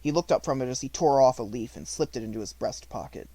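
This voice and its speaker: A man's voice: mid-range voice, fast talker, warm tone, breathy edge, no room sound. A man reading a classic children's novel aloud as scripted audiobook narration. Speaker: audiobook reader.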